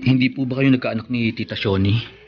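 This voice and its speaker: An actor playing a respectful, quietly anxious young adult son in a Filipino radio drama, asking questions politely and tentatively. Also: male voice, mid-range voice, fairly even intonation, a little fast, lo-fi sound, loud music behind the voice, lightly acted. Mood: earnest, anxious.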